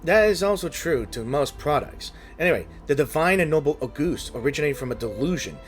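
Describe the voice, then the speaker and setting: A man hosting a gaming video, commenting casually and haltingly as he reasons aloud over game music. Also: a little high, animated. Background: faint game music.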